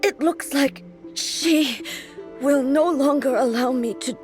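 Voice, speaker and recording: Solemn, grave warrior goddess. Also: female voice, high-pitched. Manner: formal, measured, grave speech. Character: solemn goddess ruler, weakened, resolute warrior. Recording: game dialogue over faint music.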